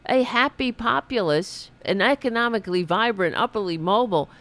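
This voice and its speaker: A man's voice: sits high, moderate pace, pushed and bright. A man hosting a podcast, delivering an impassioned political commentary monologue with many restarts. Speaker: podcast host.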